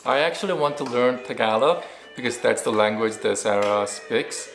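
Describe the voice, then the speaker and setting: A man's voice: mid-range voice, animated, moderate pace, fairly bright tone, faint music behind. A man co-hosting a family vlog, talking casually and conversationally as a father. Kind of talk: casual couple chat with sponsor pitch. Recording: roomy echo, faint background noise.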